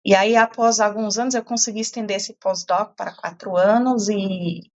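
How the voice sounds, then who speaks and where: A woman's voice: medium pitch, steady tone, normal speed, lo-fi sound. A middle-aged German-born woman with a European Portuguese accent, a climate science professor answering interview questions thoughtfully, with many fillers and restarts.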